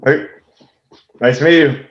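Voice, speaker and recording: A man's voice: medium pitch, unhurried, lo-fi sound. A man co-hosting a podcast, asking questions in a casual interview style, recorded with a roomy echo. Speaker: podcast co-host. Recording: roomy, echoey sound.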